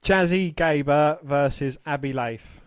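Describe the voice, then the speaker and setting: A man co-hosting a casual sports podcast, talking in a halting way and stumbling over his words. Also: on the high side, no room sound. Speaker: podcast co-host.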